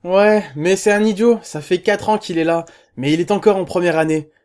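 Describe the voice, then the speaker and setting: A man's voice: high-pitched, moderate pace, darkish tone, lightly acted. A man playing a boastful, chatty dormitory chief with a casual, self-satisfied manner in an anime fan dub. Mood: informative.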